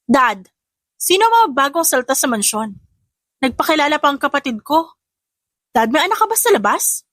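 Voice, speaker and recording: A high-pitched, haughty young heiress as acted out by a woman narrator in an audio drama story. She is spoiled and sharp-tongued, hitting her words with sarcastic emphasis. The sound is close and dry. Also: sing-song, moderate pace, no room sound. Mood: haughty, annoyed.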